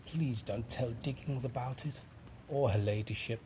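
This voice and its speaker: An actor playing a polite, secretive duck in a video game, chatty and confiding. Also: male voice, mid-range voice, moderate pace, a little mellow, a little hiss or hum, natural voice. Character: chatty male duck, secretive sabre-practising society member. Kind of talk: video game character acting. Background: music.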